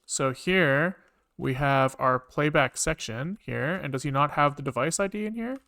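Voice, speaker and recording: A man's voice: fairly high pitch, animated, normal speed, bright, forceful tone, no echo. A man thinking aloud as he programs on a livestream, muttering code in fragments and swearing casually, close to a desk microphone.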